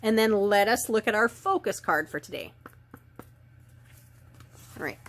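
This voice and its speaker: Hoarse woman with a cold, slightly gravelly; gentle, rambling oracle reader. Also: fairly high pitch. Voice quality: slightly gravelly, raw throat. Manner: soft spiritual talk with many ums and trailing phrases. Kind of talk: daily oracle card reading, chatty and unhurried. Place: indoor filming setup lit by ring lights.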